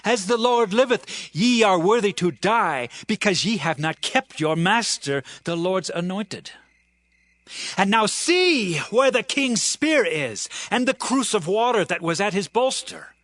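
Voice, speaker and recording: A man's voice: sits high, lively intonation, unhurried, a bit bright, no echo. An actor playing an earnest, righteous young warrior addressing his king in a dramatized audio Bible, with declamatory, impassioned archaic delivery. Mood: stern rebuke. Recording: music bed under the voice.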